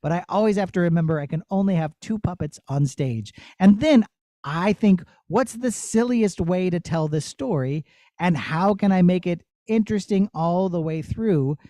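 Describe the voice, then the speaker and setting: Puppeteer guy explaining stuff to kids, mellow voice, encouraging, close dry sound. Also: high voice, normal speed, no echo. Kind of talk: answering children's questions.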